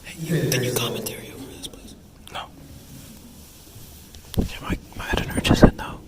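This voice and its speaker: A man's voice: medium pitch, little pitch movement, moderate pace. A man whispering jokey asides inside a concert hall. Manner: hushed, joking. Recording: distant echoey hall recording.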